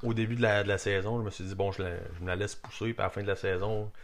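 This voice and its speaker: Low flat-voiced young Québécois man. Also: very fast, slight background noise. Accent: Québécois French. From Quebec. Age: 20s. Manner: relaxed storytelling, plain-spoken. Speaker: guest, rodeo athlete. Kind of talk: casual interview chat.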